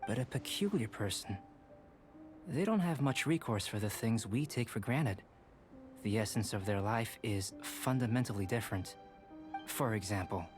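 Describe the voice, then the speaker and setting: An actor playing a calm, composed young alchemist who explains things in a measured, scholarly way. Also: male voice, on the low side, natural voice.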